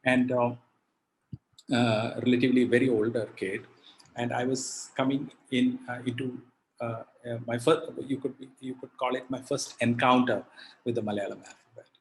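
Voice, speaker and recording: Poet guest, gravelly, halting speech on a slightly noisy call line. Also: male voice, medium pitch, fairly even intonation. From India. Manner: halting, many fillers and restarts. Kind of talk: conversational interview answer. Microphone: video call.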